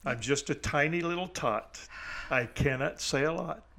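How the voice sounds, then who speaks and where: Male pastor guest, slightly high bright voice, short replies, a bit off mic. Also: moderate pace, creaky. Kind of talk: casual interview answers.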